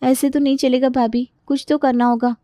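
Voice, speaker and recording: Girlish young sister-in-law played by a woman, cartoon tale, high and soft-toned. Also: little pitch movement, moderate pace, no room sound, clean studio sound, lightly acted. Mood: complaining. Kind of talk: children's cartoon story dialogue.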